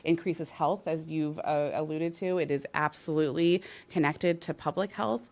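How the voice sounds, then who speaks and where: A woman's voice: deep voice, steady tone, normal speed, a little mellow. A woman in her thirties from New York giving an earnest public speech as a parks official, with many fillers and restarts.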